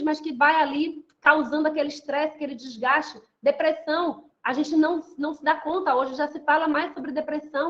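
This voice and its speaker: A woman's voice: sits high, a bit bright, highs cut off. A middle-aged woman from Salvador with a light Bahian accent gives an online talk in a chatty way, talking straight to her listeners and often asking if they agree. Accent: light Bahian Portuguese. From Salvador, Bahia, Brazil. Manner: chatty, addresses listeners directly, many tag questions. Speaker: online lecturer and women's mentor.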